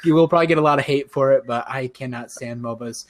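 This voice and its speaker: Brief: twenties man, podcast co-host, upbeat laughing chatter, mid pitch. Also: fast. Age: 20s. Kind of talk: casual chat.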